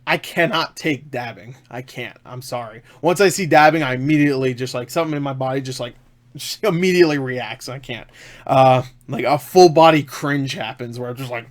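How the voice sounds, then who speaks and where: A man's voice: mid-range voice, fairly quick. A man giving a casual, unscripted film review in an enthusiastic, emphatic, rambling way, often repeating himself.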